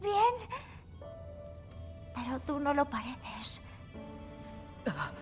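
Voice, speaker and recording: A young girl in a horror game, with a high voice. She is a frightened, trusting daughter, heard over loud music. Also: very animated, drawn-out words, heavily acted. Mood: worried. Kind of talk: dubbed survival horror game acting.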